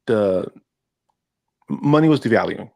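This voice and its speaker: A man's voice: fairly low pitch, animated, unhurried, vocal fry, a little mellow, no room sound. A man in his fifties from Venezuela, a native Spanish speaker, co-hosting a podcast and telling his own story in a rambling casual way, full of fillers and restarts. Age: 50s. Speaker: podcast co-host.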